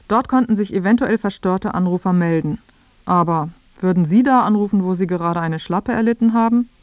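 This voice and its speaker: A woman's voice: lowish voice, brisk, darkish tone, no echo. A woman reading scripted narration as the reporter of a radio feature.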